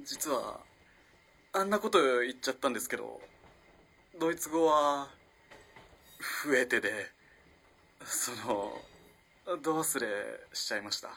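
A man's voice: mid-range voice, measured pace, long pauses, slightly rough, full character voice. A man playing a young student in a radio drama, flustered and evasive, politely stammering and hesitant. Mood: awkward, hesitant. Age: young adult. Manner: stammering, hesitant, excitable when cornered. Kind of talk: Japanese radio drama acting.

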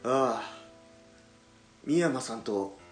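Gravely wounded, gasping loyal gang aide. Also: male voice, mid-range voice, lively intonation, roomy sound, music in the background, rough recording. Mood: pained, weakening. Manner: polite, halting speech in short broken phrases. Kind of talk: radio drama acting.

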